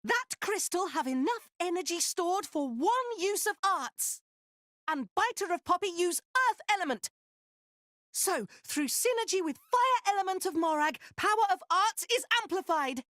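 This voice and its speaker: Tiny excitable furball guy, super high squeaky voice, broken grammar, dry studio sound. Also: normal speed, no echo, heavily acted. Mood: proud explaining. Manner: speaks in broken third-person grammar. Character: small excitable furry creature with broken grammar, male. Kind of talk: voiced game cutscene acting.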